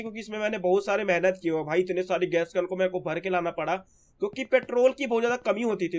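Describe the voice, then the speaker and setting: Young guy with a high voice, throat's gone hoarse, chatty gameplay commentary, keeps calling everyone bro. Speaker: gaming channel host.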